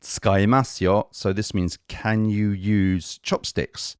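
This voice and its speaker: A man's voice: fairly low pitch, level tone, slow, clear, resonant tone, dry recording, clean studio sound. A man hosting a language-learning podcast, chatting casually and enthusiastically while teaching phrases. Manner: chatty, enthusiastic, switches between English and Japanese phrases. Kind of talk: casual conversational lesson.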